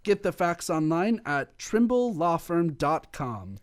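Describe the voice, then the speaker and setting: Animated man reading radio commercial. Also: fairly high pitch, slow. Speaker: commercial announcer. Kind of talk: scripted radio advertisement read.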